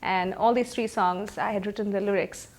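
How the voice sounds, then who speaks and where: A woman, a film playback singer, chatting in an interview about her career and pausing often to recall names. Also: mid-range voice, fairly even intonation. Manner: chatty, anecdotal, many pauses to recall names.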